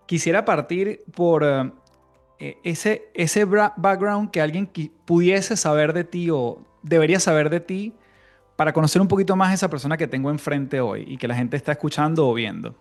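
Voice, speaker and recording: Brief: male podcast host, somewhat high pitch, relaxed, searching questions. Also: moderate pace, soft background music. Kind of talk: casual interview.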